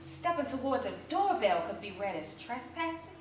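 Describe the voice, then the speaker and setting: A woman's voice: on the high side, big pitch swings, normal speed. A woman performing a literary narration as one of the readers in a live staged reading. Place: theater stage, recorded live. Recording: roomy, distant live theater recording, noisy.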